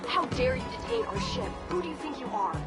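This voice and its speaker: A woman's voice: sits high, very animated, brisk. A woman playing a haughty, indignant female merchant envoy in a video game, with music behind her. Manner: haughty, protesting, sarcastically polite.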